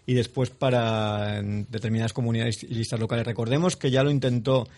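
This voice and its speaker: A man from Spain commenting on politics on a radio panel, speaking in a measured, analytical way. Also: mid-range voice, steady tone, darkish tone. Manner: measured and analytical, with some fillers and restarts. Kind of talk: panel debate. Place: radio studio.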